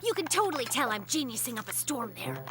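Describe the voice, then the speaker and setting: A performer voicing a boastful, excitable young fox inventor in a children's cartoon, with a dramatic, exclaiming delivery. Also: medium pitch, fast talker, heavy background noise, natural voice. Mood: smug, boastful. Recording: loud children's music behind.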